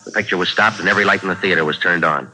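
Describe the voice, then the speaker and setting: A hard-edged, matter-of-fact American police detective in a vintage radio crime drama. He talks in quick, clipped, businesslike phrases on an old 1940s recording with faint music. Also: sits low, sharp tone, rough recording. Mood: brisk, businesslike. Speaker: police detective lead and narrator.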